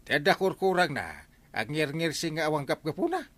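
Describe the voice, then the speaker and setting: Goofy teasing ilocano barangay captain, high-ish voice swinging all over, radio comedy. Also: male voice, fairly quick. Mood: teasing, amused. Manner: animated, given to exclaiming and chuckling. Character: teasing, excitable village captain, comic Ilocano husband. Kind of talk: comedic radio drama acting.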